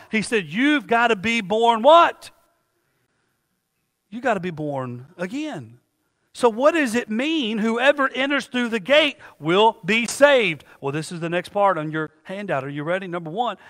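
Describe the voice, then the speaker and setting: A man's voice: high-pitched, pushed and bright, big-room sound. A man preaching a sermon to his congregation, calling out questions for them to finish. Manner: call-and-response preaching, asks the congregation to finish lines. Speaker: pastor. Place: church sanctuary.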